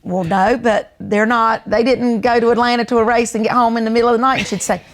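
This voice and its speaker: A woman's voice: a little high, steady tone, fast talker. An older woman with a Southern US accent, chatting casually and reminiscing in a folksy storytelling way. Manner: folksy storytelling, acts out remembered family dialogue. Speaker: host.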